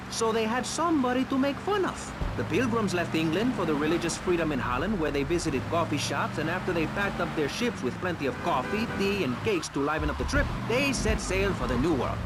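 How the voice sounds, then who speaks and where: An actor playing a self-important, easily flustered male public radio host, stagey in a satirical talk show. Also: high voice. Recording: loud city street and car noise behind.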